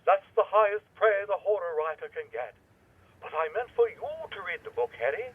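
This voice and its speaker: An actor playing an eccentric, smug horror writer in a radio drama, theatrically delighted, heard through a telephone filter. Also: male voice, high voice, sing-song, moderate pace, breathy edge, exaggerated voice. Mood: gleeful, coaxing. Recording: thin, band-limited telephone line.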